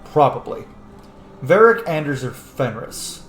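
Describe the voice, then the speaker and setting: Young dude gaming commentary, swears a lot, casual n punchy, echoey room. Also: male voice, fairly high pitch, sing-song, measured pace, faint music behind. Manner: sweary, informal, emphatic. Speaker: gaming video host. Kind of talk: casual let's-play commentary.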